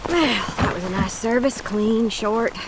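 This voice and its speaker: A woman's voice: on the high side, measured pace, very noisy, lightly acted. An actress playing a folksy, motherly, plain-spoken older woman in a radio drama. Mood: consoling, chatty. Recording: steady rain behind the voice.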